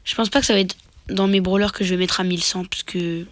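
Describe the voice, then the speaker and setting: A man's voice: sits high, little pitch movement, fast, a bit bright, no room sound. A man with a cold, sniffling as he casually commentates his own match into a phone mic, muttering half-whispered asides and mock boasts. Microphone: phone microphone.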